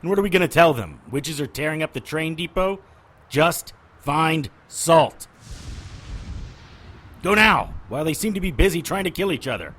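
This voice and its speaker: A man's voice: a little high, lively intonation, normal speed, long pauses, fairly bright tone, husky. An actor playing a wry, world-weary occult detective who narrates his own story in a noir-style audio drama. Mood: urgent. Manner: wisecracking, sardonic. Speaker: lead actor and first-person narrator.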